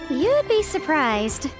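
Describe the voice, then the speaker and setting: A woman's voice: high voice, exaggerated voice. An actress voicing a breezy, self-assured young woman consultant in a video game, articulate and playfully defensive.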